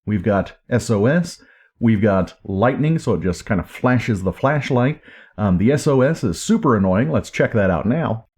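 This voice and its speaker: A man's voice: fairly low pitch, lively intonation, moderate pace, a little mellow, a little hiss or hum. A man giving a casual, informal hands-on product review, talking off the cuff. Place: indoors at home. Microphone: camera or recorder microphone.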